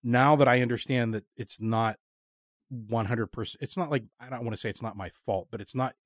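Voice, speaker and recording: A man hosting a casual podcast interview, talking candidly in a halting, reflective way. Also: medium pitch, no echo, studio quality.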